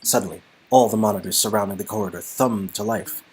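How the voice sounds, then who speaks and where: A man's voice: on the low side, fast talker, a little mellow, a little hiss or hum. A man narrating an interactive game story in a dramatic storytelling style, with music behind him. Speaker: narrator and co-performer.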